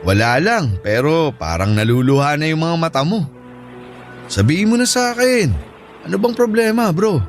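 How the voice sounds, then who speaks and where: A man plays a generous, caring shipmate in an audio drama. His voice is notably high for a man, with an easygoing, encouraging delivery. Faint music sits behind it. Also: animated, normal speed, close to an everyday voice. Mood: concerned. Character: caring, generous male Filipino shipmate and buddy. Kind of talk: Filipino audio drama acting.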